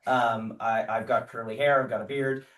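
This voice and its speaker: An echoey recording catches a man some way from the microphone. He is an actor being interviewed, talking casually and reflectively in a medium-pitched voice, often halting, with a self-deprecating manner. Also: fairly even intonation, a bit bright. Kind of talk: casual thoughtful interview talk. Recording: echoey room, distant microphone.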